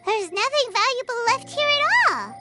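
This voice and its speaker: Very high, bouncy little creature companion, jumpy and dramatic; game music behind. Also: female voice, big pitch swings, moderate pace. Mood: puzzled. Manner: speaks of herself in third person, dramatic and jumpy. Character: tiny floating female companion creature, excitable. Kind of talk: video game voice acting.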